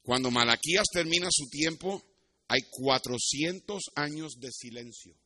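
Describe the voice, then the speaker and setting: Bright-voiced Puerto Rican pastor preaching. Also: male voice, fairly high pitch, unhurried. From Puerto Rico. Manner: didactic, switches into English asides. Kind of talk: sermon with Bible teaching and personal anecdotes.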